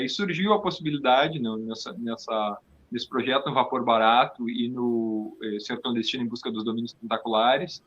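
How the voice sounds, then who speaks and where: A man's voice: medium pitch, fairly bright tone, highs cut off. A man with a southern Brazilian accent from Rio Grande do Sul, talking casually as an interview guest and often repeating words as his thoughts form. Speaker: theatre company founder, interview guest.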